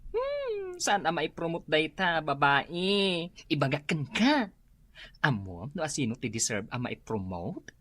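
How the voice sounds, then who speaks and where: An actress playing a gossipy, flattering female office worker in an Ilocano radio comedy, with loud exaggerated exclamations. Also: deep voice, unhurried, dry recording, heavily acted. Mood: teasing. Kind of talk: radio comedy drama acting.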